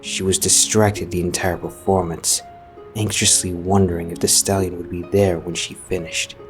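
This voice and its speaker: A man's voice: low-pitched, flat delivery, moderate pace. A man narrating a scripted story as a storyteller. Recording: music behind the voice.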